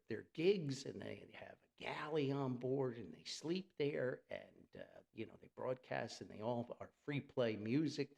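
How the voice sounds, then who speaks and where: Animated, gravelly man telling radio stories. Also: mid-range voice. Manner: enthusiastic, folksy storytelling. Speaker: podcast host and radio hobbyist. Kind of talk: casual unscripted podcast monologue.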